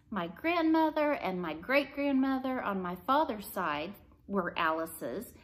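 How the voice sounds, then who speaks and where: A woman reading aloud the part of a chatty, gently probing woman in a storybook. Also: fairly high pitch, pitched above the performer's own voice, low-quality recording. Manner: chatty, leading questions. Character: Alice, chatty adult woman, delighted then gently probing. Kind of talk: storybook character dialogue read aloud.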